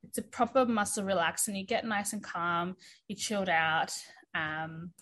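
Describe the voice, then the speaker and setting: A woman's voice: on the low side, normal speed, fairly bright tone, low-quality recording. A woman, talking casually as an interview guest, chatty with plenty of fillers. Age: 30s. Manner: chatty, many fillers, laughs easily.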